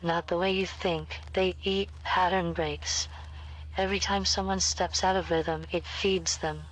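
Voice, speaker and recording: Woman acting as a creepy calm captive, on the low side, cryptic horror lines, foreboding. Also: unhurried, natural voice, poor audio. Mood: eerie, knowing. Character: eerie captive woman, former teacher, cryptic and unsettlingly calm.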